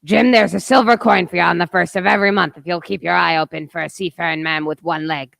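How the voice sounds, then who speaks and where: A woman playing a gruff, suspicious old seaman captain in an amateur radio play, with commanding, salty pirate talk. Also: fairly low pitch, steady tone, fast talker, a bit bright.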